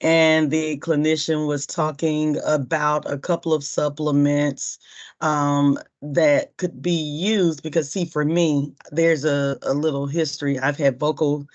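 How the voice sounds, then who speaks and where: A hoarse woman, a workshop participant and singer, asking a question politely and hesitantly with many fillers. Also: deep voice, little pitch movement, a little slow. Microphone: video call. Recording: dull lo-fi video call audio.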